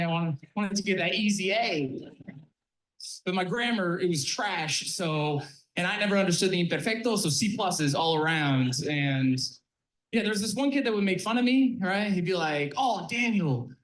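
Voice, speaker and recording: A man's voice: high voice, fairly bright tone, rough recording. A man whose first language is Spanish, a comedian telling a stand-up story with mock arguments and cursing punchlines.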